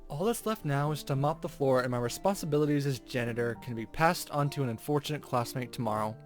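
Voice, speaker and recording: A man with a mid-pitched voice hosts a visual novel playthrough. He reads first-person story text expressively and comments casually, over soft guitar music. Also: quick pace. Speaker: gaming video host.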